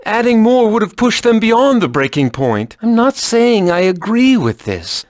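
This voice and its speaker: A flippant young male adventurer from a video game. His pitch leaps around expressively as he wisecracks. The recording is very dry. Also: sing-song, measured pace, dry recording. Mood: earnest.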